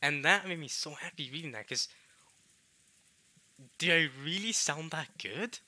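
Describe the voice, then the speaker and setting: A young man from Norway, chatting casually to viewers in a halting way, restarting words often with chuckling self-deprecation. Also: a little high, lively intonation, pushed and bright, no echo. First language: Norwegian. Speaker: visual novel let's player.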